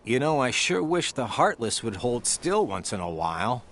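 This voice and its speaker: Wry, laid-back young guy, lively pitch. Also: moderate pace, a little hiss or hum. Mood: casual, joking. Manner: casual, wry, teasing. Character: laid-back, teasing young man, older-brother type. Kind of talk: video game voice acting.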